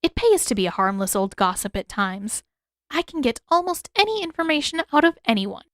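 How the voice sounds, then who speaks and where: An older village mother, as a woman reader plays her, firm and shrewd, in a dry, close recording. She speaks in a measured, matter-of-fact way at a somewhat raised pitch, never giving ground in this storybook drama. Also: big pitch swings, a bit bright, no echo. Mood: dry, assured.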